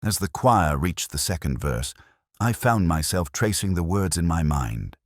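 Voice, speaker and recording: A man performs a melancholy male detective looking back on a case in scripted first-person narration. His voice is extremely deep, yet it moves expressively up and down. The delivery is measured, solemn and contemplative, in a dry, close sound without room echo. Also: expressive. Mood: wistful, solemn. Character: weary, melancholy male English detective narrator, reflective and literary.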